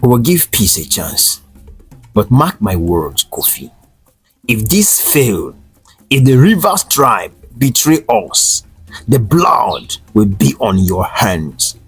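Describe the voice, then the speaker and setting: A man voicing an angry, proud older warrior uncle in a folktale, declaiming forcefully and scornfully. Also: mid-range voice, very animated, slow pace, slightly rough, full character voice. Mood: grudging warning. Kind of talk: dramatic folktale character voice. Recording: roomy echo over faint music.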